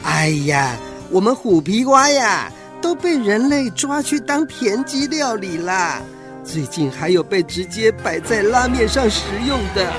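Slow, sly old boss frog. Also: mid-range voice. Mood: lamenting. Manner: slow, insinuating, with knowing chuckles. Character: scheming retired male tiger frog ex-director, sly and wheedling. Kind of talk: children's radio drama acting.

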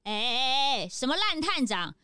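A woman voicing a feisty, loyal young detective's sidekick in a children's radio drama, indignant and sharp. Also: sits high, big pitch swings, a little slow, clear, resonant tone, dry recording, studio quality, full character voice.

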